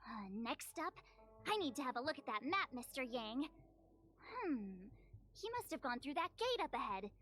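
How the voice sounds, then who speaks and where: A woman's voice: high-pitched, big pitch swings, moderate pace, fairly bright tone, faint music behind. A woman voicing a perky, eager teenage girl who plays detective with theatrical self-assurance, in anime-style game acting. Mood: musing.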